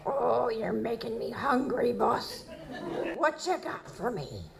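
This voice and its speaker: A woman's voice: a little high, big pitch swings, slow, vocal fry, full character voice. A woman playing an eager, faintly menacing creature secretary in an audio drama, with a drawling, slangy delivery. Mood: eager, sly. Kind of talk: live audio drama acting. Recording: noisy live recording.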